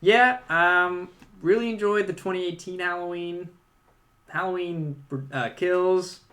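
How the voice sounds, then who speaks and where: A man's voice: high-pitched, fairly even intonation, a little slow, sharp tone. A man co-hosting a podcast, giving his opinions on films in a casual, conversational way. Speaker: podcast co-host. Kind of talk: casual film discussion.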